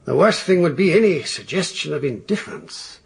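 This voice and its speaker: An actor playing a fussy, hesitant Victorian defense attorney in a radio drama, speaking formally and haltingly with a husky, creaky voice. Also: male voice, a little high, expressive, exaggerated voice. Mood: measured concern.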